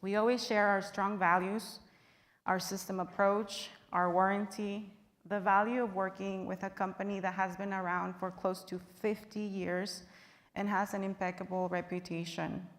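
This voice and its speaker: A woman from Guatemala speaking on a panel, earnest and measured with occasional fillers. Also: mid-range voice, flat delivery. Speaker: panelist, business partner. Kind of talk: panel remarks. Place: large convention hall. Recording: reverberant hall sound.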